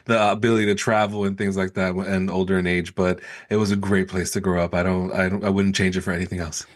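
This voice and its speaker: Low-voiced man, casual podcast guest. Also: flat delivery, quick pace. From New York City, born in Puerto Rico. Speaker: podcast guest, sales coach. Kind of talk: casual conversational interview.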